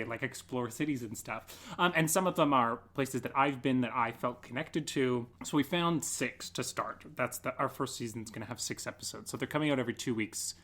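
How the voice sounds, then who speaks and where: A man's voice: mid-range voice, fairly bright tone. A man chatting casually as an interview guest, explaining things informally and saying "like" often. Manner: informal storytelling with frequent "like". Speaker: guest theatre artist.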